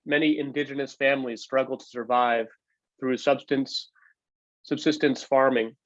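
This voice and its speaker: A man reading a personal narrative aloud in measured, broken phrases, with an occasional slip and correction. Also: mid-range voice, no room sound, dull audio. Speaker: book co-editor reading aloud. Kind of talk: read-aloud oral history narrative.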